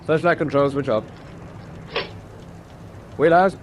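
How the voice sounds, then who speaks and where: An actor with a British accent playing a bold, decisive destroyer captain in a war radio drama, giving clipped orders. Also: male voice, on the high side, takes it slowly. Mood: decisive, commanding. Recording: faint background noise.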